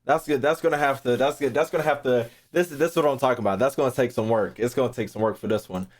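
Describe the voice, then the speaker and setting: A man's voice: mid-range voice, fast. Rambling, foul-mouthed male streamer thinking aloud. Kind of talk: casual livestream chat, thinking aloud.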